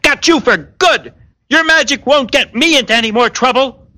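A man's voice: very high voice, moderate pace, pushed and bright, voice pushed up, exaggerated voice. A man voicing a hapless, panicky young sorcerer's apprentice who exclaims and pleads in a theatrical storybook style. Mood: triumphant.

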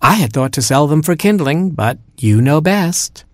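A man acting out a cheery seal peddler who sells straw, twigs and coal from a bobsled. He sings out his wares in a high, slow cry. The room is dry and close. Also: expressive, dry recording, natural voice. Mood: breezy. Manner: sing-song street-seller calls. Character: huckstering male seal peddler, cheery salesman.

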